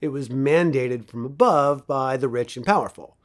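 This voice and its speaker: A man playing an assured professor from a dystopian future, giving a measured, didactic classroom lecture with dry wit in an audio drama. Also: mid-range voice, natural voice. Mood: didactic, wry. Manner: didactic, measured, dryly wry.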